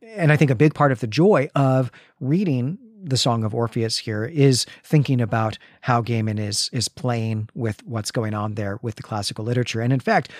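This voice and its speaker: A man with a mid-pitched voice talks as a podcast co-host. His delivery is casual but bookish, full of appreciation and detail. The recording is dry, without room sound. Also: normal speed, hardly any pauses, no room sound. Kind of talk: casual, informed podcast discussion.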